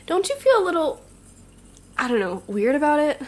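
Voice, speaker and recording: A woman's voice: high voice, very animated, moderate pace. A young woman playing a playful, conscience-stricken young supervillain in an audio drama, talking in a casual, teasing way. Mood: uneasy, doubtful. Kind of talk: naturalistic audio drama acting.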